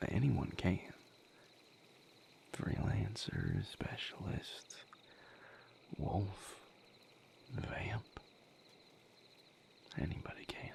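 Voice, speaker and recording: A man playing a gentle, wry vampire healer in an intimate audio roleplay, speaking to the listener in halting, reflective phrases with a breathy, gravelly near-whisper. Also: sits low, wildly swooping pitch, slight background noise, full character voice. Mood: patient, thoughtful. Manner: halting, reflective, casually profane. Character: male vampire healer, gentle, wry, weary but content lover.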